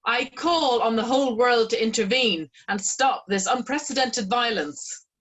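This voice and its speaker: Brief: an actress as a shocked woman crying out for help, breathy and raised. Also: fairly high pitch, a little slow, fairly bright tone, low-quality recording. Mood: alarmed. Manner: alarmed, pleading. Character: horrified woman appealing to the world.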